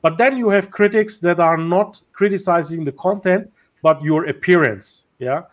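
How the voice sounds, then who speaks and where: High-voiced host, halting speech. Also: male voice. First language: German or Turkish. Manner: hesitant, many fillers and restarts. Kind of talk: casual interview. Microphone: video call.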